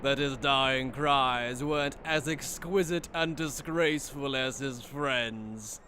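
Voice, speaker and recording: Sneering, smug anime villain aristocrat. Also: male voice, mid-range voice, pushed and bright, slight background noise. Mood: smug, cruel. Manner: theatrical, condescending, drawn-out mocking delivery. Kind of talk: anime fan dub acting.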